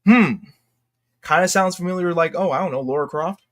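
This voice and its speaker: A man giving unscripted commentary as a YouTuber, talking in a halting, slangy, exclamatory way and often interrupting himself. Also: sits high. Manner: rambling, halting, self-interrupting. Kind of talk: unscripted casual commentary rant.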